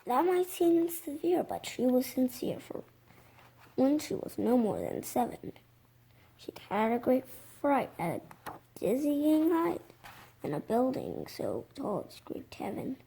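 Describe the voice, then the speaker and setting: A six-year-old child reading a picture book aloud, haltingly, with stumbles over words. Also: mid-range voice, vocal fry, dark tone, slightly rough. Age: young child, six. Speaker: reader.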